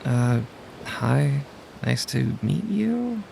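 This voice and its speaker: A man's voice: medium pitch, sing-song, extremely slow, gravelly, darkish tone, slight background noise, heavily acted, low-quality recording. A man playing a nervous civilian woman in an audio drama, stammering and hesitating timidly. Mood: bewildered, hesitant.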